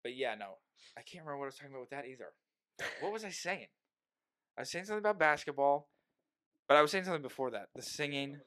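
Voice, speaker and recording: A man's voice: on the high side, expressive, fast talker, pauses often, a bit bright. A young man hosting a podcast in casual chat, rambling and joking, often breaking off mid-sentence. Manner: rambling, self-interrupting, joking.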